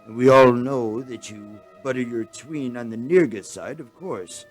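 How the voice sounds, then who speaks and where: A man playing a humble, gloomy alien chancellor in a radio play, speaking in a formal, halting, resigned manner. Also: mid-range voice, soft background music, lightly acted. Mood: courtly, resigned.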